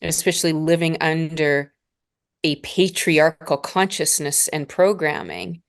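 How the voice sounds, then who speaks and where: A woman in her forties from Northern Alberta, a spiritual mentor speaking as a guest in a slow, measured, reflective way. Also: low voice, steady tone. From Northern Alberta, Canada. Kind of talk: reflective interview conversation.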